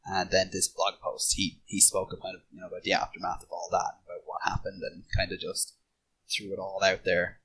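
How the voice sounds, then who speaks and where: A man's voice: sits low, monotone, fairly quick, pushed and bright, slight background noise, rough recording. A man talking casually as a panelist in a podcast discussion.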